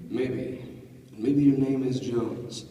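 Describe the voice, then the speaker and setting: A man acting a wealthy, self-assured, skeptical gentleman in a 1940s-style radio drama read on stage. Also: mid-range voice, steady tone, takes it slowly, close to an everyday voice. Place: large indoor convention panel room. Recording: echoey convention hall, distant mic, loud crowd.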